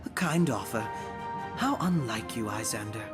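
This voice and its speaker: An actor playing a sardonic, smug assassin in a fantasy video game, with florid, theatrical, mocking phrasing. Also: male voice, lowish voice, animated, normal speed, close to an everyday voice. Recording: loud music behind the voice.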